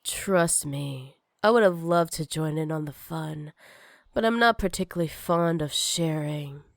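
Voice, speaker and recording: A woman's voice: low-pitched, unhurried, creaky, no room sound, clean studio sound. A woman playing a jealous, possessive villain with magic, teasing and menacing in a sultry ASMR roleplay. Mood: possessive, controlled.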